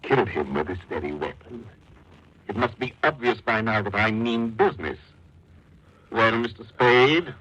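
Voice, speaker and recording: A pompous, husky, silky radio villain. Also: male voice, on the low side, animated, normal speed, a bit bright. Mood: suave menace. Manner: pompous, elaborately polite, theatrical. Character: florid, courteous male villain, a menacing fat blackmailer. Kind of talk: 1940s radio mystery acting. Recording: dull 1940s radio recording.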